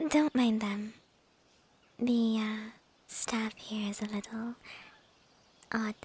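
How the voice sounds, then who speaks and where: A woman acting a slow, whispery young vampire girl. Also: on the high side, full character voice. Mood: teasing. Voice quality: soft, breathy, often whispering. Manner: coy, hesitant, drawn-out phrasing with soft chuckles. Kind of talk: ASMR roleplay, intimate girlfriend-style monologue.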